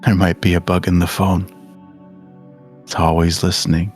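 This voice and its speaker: A man voicing a weary, despairing agent in a horror roleplaying game, speaking in a halting, subdued way. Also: low-pitched, flat delivery, darkish tone, soft background music, natural voice. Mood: somber, halting. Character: weary, despairing male agent on a haunted mission. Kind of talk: in-character tabletop roleplay.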